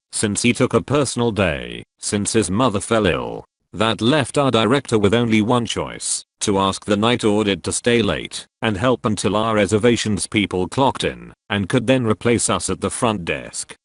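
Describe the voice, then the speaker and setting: A man narrating a reddit story in an even, measured reading. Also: fairly low pitch, no echo, studio quality.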